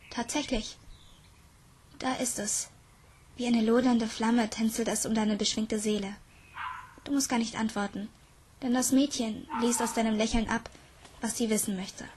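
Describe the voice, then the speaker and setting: A woman reading a scripted audiobook story in a dreamy, deliberate manner. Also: fairly high pitch, darkish tone, slightly rough. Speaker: narrator. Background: background music.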